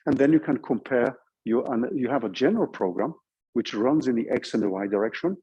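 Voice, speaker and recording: A man's voice: mid-range voice, fairly quick, warm tone, husky, dull audio. A man with a Norwegian accent giving an informal university lecture, explaining things step by step and checking in with his listeners. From Norway. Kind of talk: informal lecture walking through code.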